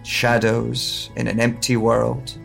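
A man playing a haunted, guilt-ridden scholar with lost memories in an audio drama monologue, speaking in halting, deliberate phrases. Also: medium pitch, completely flat delivery, gravelly, fairly bright tone, soft background music, heavily acted. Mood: despairing, quiet.

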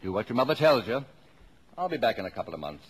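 Hearty radio father, lively swoops. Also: medium pitch, fast talker, slightly rough. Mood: fond, firm. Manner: hearty, fatherly, playfully commanding. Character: brisk, jovial, fond middle-aged father of many children. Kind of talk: 1950s radio drama acting. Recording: noisy vintage 1950s radio broadcast.

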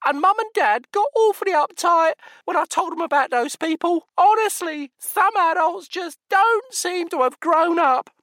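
A cheeky, truculent little boy, in a very high, piping voice with a lecturing, indignant manner. Dry and close. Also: animated, normal speed, few pauses, a bit bright, no echo.

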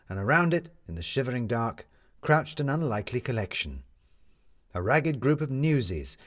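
A man narrating a scripted story as an expressive storyteller. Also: medium pitch.

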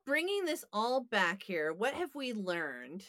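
A woman's voice: mid-range voice, sing-song, slow, a bit bright, no room sound, studio quality. A woman co-hosting a podcast in casual chat, musing aloud in a hesitant, self-deprecating way. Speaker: podcast co-host.

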